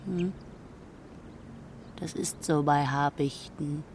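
Slow, low-voiced proud hawk. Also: female voice, fairly even intonation, a little mellow, slight background noise. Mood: matter-of-fact. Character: proud female hawk, bigger than the males, matter-of-fact. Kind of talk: children's radio play acting.

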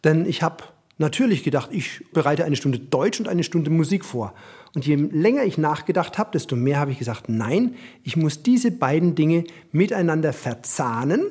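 A middle-aged man who teaches music and German speaks as he would when explaining to a listener or class. His voice is fairly high for a man, with a husky texture. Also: animated, normal speed, warm tone.

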